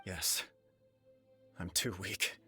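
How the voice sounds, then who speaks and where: A man's voice: low-pitched, fairly even intonation, very slow, bright, forceful tone, slightly rough, soft background music, exaggerated voice. An actor playing a dutiful, conscience-stricken young nobleman in a video game, speaking formally with earnest intensity. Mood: remorseful.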